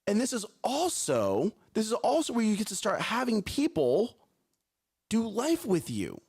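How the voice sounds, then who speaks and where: Guy cohosting a live morning show, big carrying voice, super animated, keeps saying right? okay?. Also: high-pitched, a little slow, husky. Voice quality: strong, carrying voice. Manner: energetic, repeats words, frequent "right?" and "okay?". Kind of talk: live motivational talk show.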